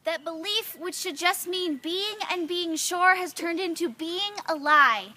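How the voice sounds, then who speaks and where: Young woman doing a slam poem, really high bright voice, earnest rhythmic delivery, bit of background noise. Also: a little slow. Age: young adult. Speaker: student poet. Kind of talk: slam poetry recitation.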